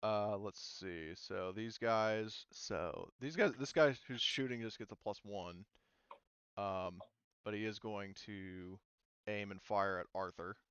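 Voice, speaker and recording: A man's voice: fairly low pitch, takes it slowly, fairly bright tone, clean tone, no room sound, lo-fi sound. A man narrating and explaining rules casually as a tabletop game master. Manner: informal, talks through rules as he reads them.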